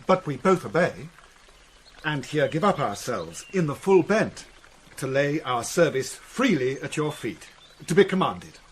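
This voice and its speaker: An actor playing a deferential, eager-to-please young courtier in a classical Shakespearean drama. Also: male voice, a little high, big pitch swings, unhurried, husky, full character voice. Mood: deferential, earnest.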